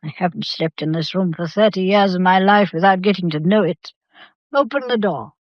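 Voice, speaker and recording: An actress playing a sharp, unflappable elderly English grandmother, brisk and probing, in a radio mystery drama. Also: lowish voice, no echo, natural voice. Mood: imperious, probing. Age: older. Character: sharp, unflappable old grandmother of a well-off household. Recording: dull, muffled lo-fi audio.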